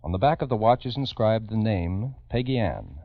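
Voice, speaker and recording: A man's voice: fairly low pitch, big pitch swings, normal speed, no echo. A man hosting in 1950s true-crime radio style, speaking solemnly and emphatically, with dramatic direct address to listeners. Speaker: radio host and narrator. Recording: dull vintage radio recording.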